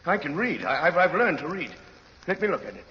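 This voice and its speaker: An actor in an old radio drama playing a desperate, embittered convict who stammers and repeats himself in a halting way. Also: male voice, on the high side, sing-song, bright, forceful tone. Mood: slow, bitter. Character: desperate, embittered male convict, humble then defiant. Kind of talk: 1930s radio drama acting. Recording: noisy old radio recording, muffled, reverberant hall.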